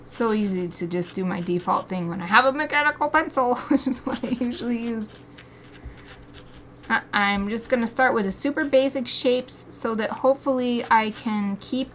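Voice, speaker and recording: A woman's voice: a little high, normal speed, slight background noise. An American woman chatting and laughing to herself while drawing live, reading viewer comments aloud. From United States. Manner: chatty, giggly, talks to herself and reads chat aloud. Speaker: artist streaming live drawing.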